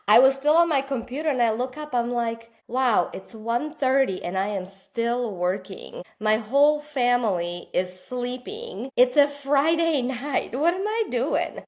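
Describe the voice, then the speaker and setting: Slovak-born woman telling her story. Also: a little high. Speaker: guest, wellness studio founder. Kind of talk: conversational interview storytelling.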